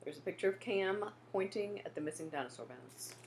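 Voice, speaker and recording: A woman reading a children's book aloud in an expressive storytelling style. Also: medium pitch, brisk, echoey room, slight background noise, low-quality recording. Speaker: storybook reader.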